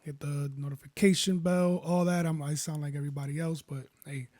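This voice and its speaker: A man with a baritone voice, casually walking viewers through making a beat and rambling as he goes. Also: brisk. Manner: relaxed, rambling, self-correcting. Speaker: beat producer. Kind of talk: casual tutorial talk. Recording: faint music behind.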